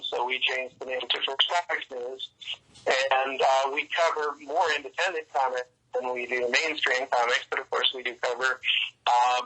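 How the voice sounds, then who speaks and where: Bright-voiced man on a phone-like line. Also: mid-range voice. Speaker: interview guest, comics writer and news site publisher. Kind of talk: interview conversation.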